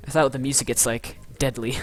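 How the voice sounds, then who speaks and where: A man's voice: medium pitch, little pitch movement, fast talker, soft background music. Stuttering young male streamer. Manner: stuttering, rambling, with frequent restarts; blunt, roasting commenters. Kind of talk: casual live-stream chat.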